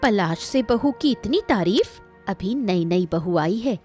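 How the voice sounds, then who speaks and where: A woman's voice: mid-range voice, animated, slow pace, darkish tone, faint music behind. A woman playing a scheming, scornful, threatening mother-in-law in a cartoon moral story. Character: scheming, spiteful mother-in-law of a rich household.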